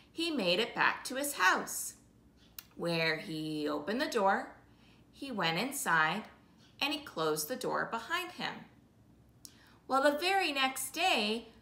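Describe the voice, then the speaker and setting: A woman tells a children's story with big swoops in pitch and a slow, sing-song rhythm. Her voice is bright and clearly projected, with some room echo around it. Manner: slow, sing-song, repetitive rhythmic phrasing with drawn-out words. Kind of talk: animated oral storytelling for young children. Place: living room, indoors. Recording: roomy indoor echo.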